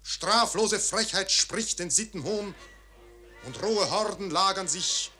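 An actor reciting a solemn verse prologue in a grave, elevated manner. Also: male voice, high-pitched, slow pace, pushed and bright, soft background music, heavily acted. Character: solemn male prologue speaker.

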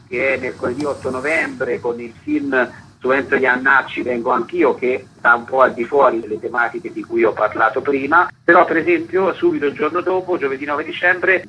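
A man with a bright, forward voice at an average pitch explains things as an interviewed festival curator. He talks in long, linked sentences. The sound is dull and lo-fi, with faint noise. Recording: dull lo-fi radio connection, clear noise.

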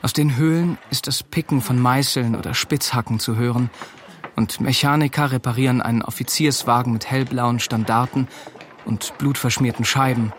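A young man acting a wary, sharp-witted teenage soldier who also narrates in a radio play. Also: medium pitch, steady tone, normal speed, a little hiss or hum, natural voice. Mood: observant, sombre. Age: young adult.

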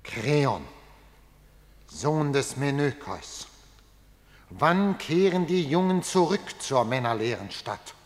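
An actor playing an anxious, challenging elder of a city chorus in a verse radio drama, declaiming formally. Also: male voice, mid-range voice, big pitch swings, slow pace, long pauses. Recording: long hall reverb.

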